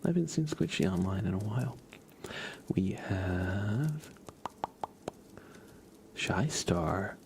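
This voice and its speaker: Hushed, low, creaky ASMR man. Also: expressive, slow, pauses often, noisy background. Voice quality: soft, breathy, often whispered. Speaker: ASMR live streamer. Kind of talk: soft-spoken ASMR livestream chat.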